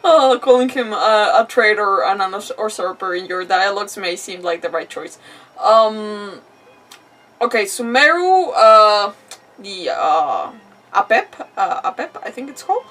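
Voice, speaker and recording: A woman livestreamer giving casual gameplay commentary, thinking aloud in a halting, giggly way. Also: medium pitch, expressive, fairly bright tone, recorded from a distance. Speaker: game livestreamer.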